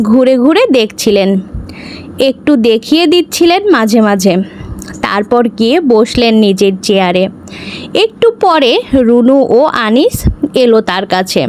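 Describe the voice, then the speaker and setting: A woman reading a children's story aloud from a script in short, separate phrases. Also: fairly high pitch. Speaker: storyteller, channel host. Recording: noticeable background noise.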